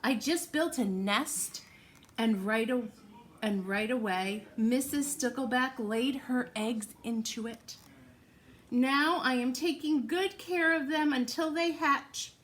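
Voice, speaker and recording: A woman reads the part of a proud, cheerful papa fish in a children's storybook. The voice is fairly high and very unhurried, with a contented, dutiful manner. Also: a little hiss or hum. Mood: delighted, proud. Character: cheerful, proud father fish minding his eggs.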